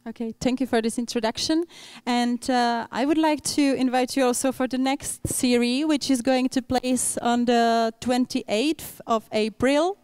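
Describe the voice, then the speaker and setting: A young woman speaking English as an event moderator, pausing often with fillers as she introduces a panel. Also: fairly high pitch, fairly even intonation, darkish tone, smooth, no echo. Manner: frequent fillers and restarts, non-native English.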